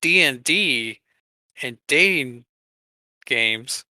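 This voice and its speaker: A man's voice: mid-range voice, animated, extremely slow, sharp tone, no echo. A man co-hosting a podcast, chatting in an informal, rambling and jokey way. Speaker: podcast co-host. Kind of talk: casual podcast chat.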